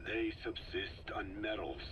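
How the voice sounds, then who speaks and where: A man voicing an aged, solemn scientist sage in a video game, breathy and weathered, speaking in measured, formal phrases. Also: on the low side, lo-fi sound, noisy background, natural voice. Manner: slow, measured, formal and sparse.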